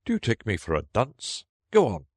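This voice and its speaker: Man playing an irritable storybook king, animated. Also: mid-range voice, moderate pace, dry recording, clean studio sound, pitched above the performer's own voice. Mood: angry, sharp. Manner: sharp, commanding. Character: irritable, bossy old-fashioned storybook king. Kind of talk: storybook character voice.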